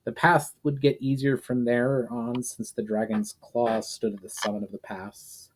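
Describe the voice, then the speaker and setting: A man's voice: medium pitch, little pitch movement, measured pace, a little hiss or hum, poor audio. A man giving a dramatic scripted narration of a fantasy story as a storyteller. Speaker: author and storyteller.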